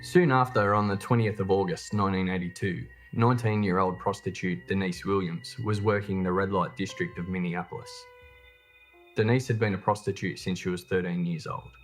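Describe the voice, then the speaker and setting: A man's voice: low-pitched, steady tone, fairly quick, soft background music. A man reading a scripted true crime documentary narration as its host.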